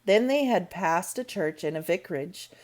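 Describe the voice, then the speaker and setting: A woman reading a classic novel aloud as storybook narration, in a deliberate, measured manner. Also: lowish voice, lively intonation. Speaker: storybook reader. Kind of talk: read-aloud audiobook narration.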